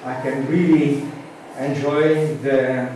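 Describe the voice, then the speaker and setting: A man from the Netherlands with a Dutch accent, a university teacher giving a halting, informal introduction to a group. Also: medium pitch, little pitch movement, darkish tone. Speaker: university teacher of pedagogical philosophy. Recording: very echoey room, distant mic, noisy.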